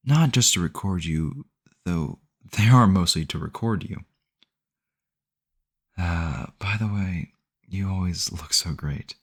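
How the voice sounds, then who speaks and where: Man acting a low-voiced, doting obsessive boyfriend. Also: slow, pauses often, heavily acted. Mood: sweetly possessive. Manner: doting, rambling, earnest self-justifying, close and conversational. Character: obsessive, possessive yandere boyfriend, sweetly cheerful kidnapper. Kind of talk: ASMR audio roleplay addressed to the listener.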